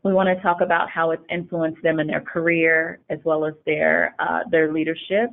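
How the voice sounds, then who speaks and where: A woman's voice: deep voice, level tone, normal speed, gravelly. A woman hosting a semi-scripted webinar, introducing and questioning guests as a programme director. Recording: thin phone-like line.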